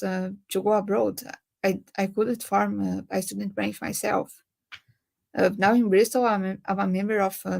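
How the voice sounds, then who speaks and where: A young woman from Brazil with a Brazilian Portuguese accent, speaking on a webinar panel and pausing often with filler sounds. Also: medium pitch, gravelly, a little mellow. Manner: hesitant, many filler sounds. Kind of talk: webinar talk.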